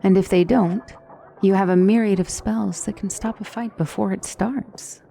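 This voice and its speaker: A woman playing a poised, formal demonic tutor in a fantasy ASMR roleplay, speaking as a wise and gently reassuring mentor with measured, courtly phrasing. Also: medium pitch, fairly even intonation, gravelly, slight background noise, natural voice. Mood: reassuring, instructive. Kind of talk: ASMR fantasy roleplay, scripted character acting.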